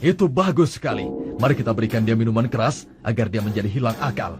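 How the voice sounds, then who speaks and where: A man's voice: medium pitch, animated, quick pace, over music, natural voice. A man voicing a sly, scheming chieftain. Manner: sly, plotting, ends with an evil laugh. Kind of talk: dubbed cartoon acting.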